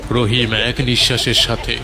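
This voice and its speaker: A man's voice: medium pitch, flat delivery, normal speed. A man narrating a scripted horror story in a dramatic storytelling style. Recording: prominent music under the voice.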